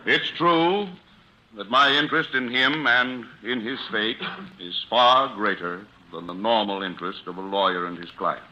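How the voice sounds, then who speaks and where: Forceful, deep-voiced fatherly lawyer. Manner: formal, measured oratory. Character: earnest fatherly older defense lawyer. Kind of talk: 1940s radio acting. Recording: muffled old radio recording.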